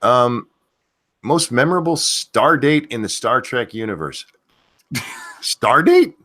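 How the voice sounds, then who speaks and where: A man's voice: medium pitch, expressive, takes it slowly, fairly bright tone. A man hosting a casual livestream chat, blunt and self-assured, swearing freely and restarting his sentences. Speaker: show host.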